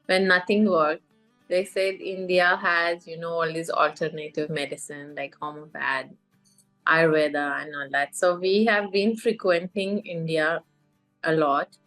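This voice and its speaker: A woman's voice: sits low, fairly even intonation, a little slow, a bit bright, soft background music, poor audio. A middle-aged woman from Iran, a life coach, telling her story in a podcast interview with many fillers and restarts.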